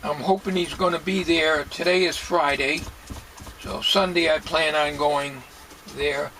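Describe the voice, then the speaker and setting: An older man talking casually as he works at a bench in a home workshop, plain-spoken and musing, with halting delivery. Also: on the high side, fairly even intonation, sharp tone. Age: 60s or older. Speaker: hobbyist radio restorer. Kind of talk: casual talking while working. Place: cluttered home electronics workshop, indoors. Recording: noisy home workshop recording.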